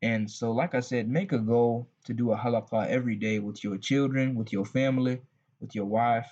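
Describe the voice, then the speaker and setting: Man, Texas accent, husky, rambling unscripted advice talk, muffled lo-fi recording. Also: medium pitch, steady tone, moderate pace, a little mellow. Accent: Southern US, Texas. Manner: rambling, restarts, frequent asides. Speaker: religious channel host. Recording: dull lo-fi recording.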